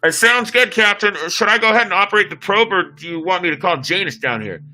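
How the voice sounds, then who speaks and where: A man's voice: high-pitched, fast, bright, forceful tone, pitched above the performer's own voice, low-quality recording. A man voicing an eager, nervous spaceship crewman who stammers and defers in a sci-fi story reading. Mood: eager, deferential.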